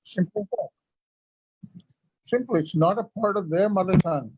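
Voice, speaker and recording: A man's voice: sits high, unhurried, darkish tone, husky, no room sound. A man from India whose first language is Urdu, telling stories as a guest in a conversational interview. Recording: lo-fi, dull sound.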